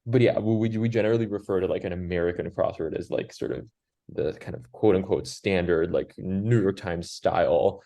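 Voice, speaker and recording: A man's voice: low-pitched, a little fast, gravelly, mellow. A man who grew up in Brazil with Portuguese as his first language, a crossword constructor answering interview questions in a rambling way, full of "like" and restarts. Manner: rambling, heavy use of "like", frequent restarts.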